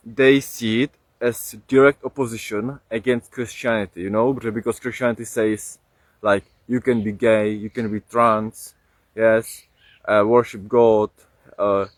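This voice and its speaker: Young czech guy on a live stream, lowish voice, lots of uh and restarts, dry close sound. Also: no room sound. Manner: halting, many fillers and restarts. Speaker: livestream host. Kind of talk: casual livestream monologue.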